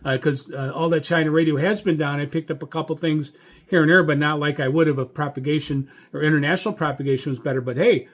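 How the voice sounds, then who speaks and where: An American man who hosts a hobby radio channel, giving a casual, enthusiastic update in a halting, folksy way and repeating words for emphasis. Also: on the high side, steady tone. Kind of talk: casual video update monologue.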